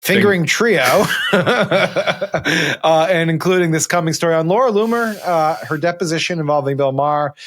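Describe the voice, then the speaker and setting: Male American panel host, somewhat high-voiced, conversational and ribbing, steering informal news talk. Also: normal speed, fairly bright tone. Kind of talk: casual news chat.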